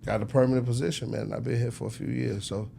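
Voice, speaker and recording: A middle-aged American man telling his story casually as an interview guest, in slangy conversational speech with frequent throat clearing. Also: medium pitch, fairly even intonation, quick pace, mellow, slight background noise. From United States, Chicago. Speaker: interview guest, record executive.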